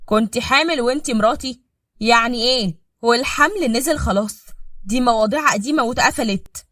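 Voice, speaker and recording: A woman's voice: mid-range voice, expressive, quick pace, a bit bright, recorded from a distance, close to an everyday voice. A woman with an Egyptian accent voicing an angry, jealous husband who hurls harsh accusations. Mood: furious. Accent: Egyptian Arabic. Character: angry jealous husband, middle-aged man.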